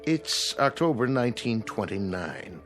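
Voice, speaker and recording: A self-assured club gentleman in a radio play, with lively swings of pitch. He argues persuasively and loves to speculate, with light music behind him. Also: a little slow. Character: confident, argumentative gentleman club member, fond of speculation. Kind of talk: radio drama acting.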